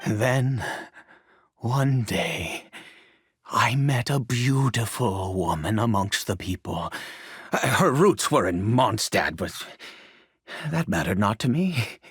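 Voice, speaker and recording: An actor playing a weary, guilt-ridden ancient nobleman and father in a video game, halting and stammering as he broods and grows agitated. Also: fairly low pitch, animated, a bit bright, slightly rough, no echo, exaggerated voice. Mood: regretful, sorrowful. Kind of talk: dramatic video game voice acting. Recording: music behind the voice. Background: music.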